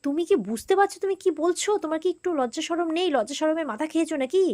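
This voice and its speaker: A woman's voice: very high voice, lively intonation, very fast, darkish tone, pitched above the performer's own voice. A woman storyteller voicing an indignant mother in a serial recap, scolding with repeated disbelieving questions. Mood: scandalised, scolding. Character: indignant, scandalised mother confronting a young couple.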